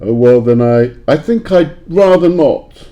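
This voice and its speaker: A man playing a grumpy, frightened old miser in an audio play, theatrical and shifting between gruff scorn and pleading. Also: medium pitch, animated, a little slow, warm tone, lo-fi sound, lightly acted. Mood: reluctant, grumbling. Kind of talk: library audio play acting.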